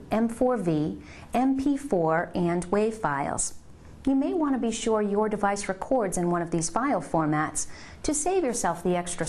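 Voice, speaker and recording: A woman reading scripted instructional narration in a measured, step-by-step way, as a presenter of a product tutorial. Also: mid-range voice, a little mellow.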